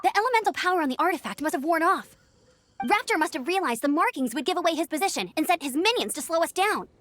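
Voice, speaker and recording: High, lively, upbeat young heroine. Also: female voice, fast, slight background noise, heavily acted. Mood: determined. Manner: energetic, playful, encouraging. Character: upbeat, confident young female outrider and gliding examiner. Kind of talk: English anime-style game voice acting. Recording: faint game music behind.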